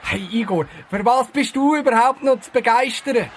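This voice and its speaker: A man playing an excitable, chatty detective in a comic Swiss German audio drama, with an eager, lecturing way of talking. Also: very high voice, moderate pace, slight background noise. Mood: chiding. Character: excitable, chatty male detective and table tennis fan.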